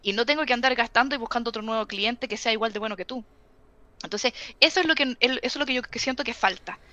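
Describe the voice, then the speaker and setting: A Chilean woman in her thirties talks through her points at a rapid clip, firing off rhetorical questions in a casual video-call interview. Her voice sits a little high and cuts bright. The headset audio is dry and a bit dull. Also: dry recording. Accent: Chilean Spanish. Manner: animated, rapid-fire explaining with rhetorical questions. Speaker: guest e-commerce expert. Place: home room with bookshelves, indoors.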